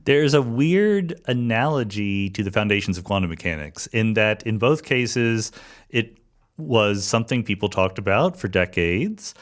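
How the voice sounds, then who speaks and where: A man's voice: fairly low pitch, lively intonation, unhurried. A man hosting a conversational science interview, speaking in a thoughtful, informal way. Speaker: podcast host.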